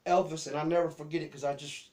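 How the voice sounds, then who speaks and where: Southern US man, middle-aged, slightly higher-pitched voice, folksy and rambling, informal storytelling with repeated words. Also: flat delivery, fast talker, darkish tone. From Memphis, Tennessee area. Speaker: host telling childhood memories. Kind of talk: casual personal storytelling.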